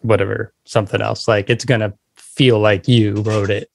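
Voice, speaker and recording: A man's voice: on the low side, flat delivery, normal speed, creaky. A man hosting a podcast, asking questions in a casual conversational style. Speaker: podcast host. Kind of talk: casual interviewing.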